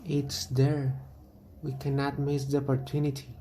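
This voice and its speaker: A man acting a steady, protective monster hunter in an amateur radio drama, consoling and matter-of-fact. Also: mid-range voice, a little slow, slight background noise, lightly acted. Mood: determined.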